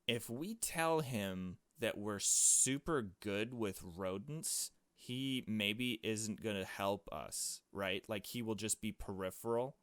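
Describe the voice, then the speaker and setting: A man's voice: fairly low pitch, a bit bright, no room sound, studio quality. A man giving casual gameplay commentary, thinking aloud as he goes. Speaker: gaming video host.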